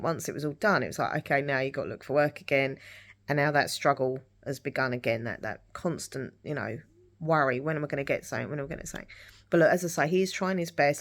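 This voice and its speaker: A middle-aged woman chatting casually in a rambling vlog style, her voice husky and dry-throated from hay fever. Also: low voice. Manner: rambling, repeats herself. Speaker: lifestyle vlogger. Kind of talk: casual rambling chat to camera.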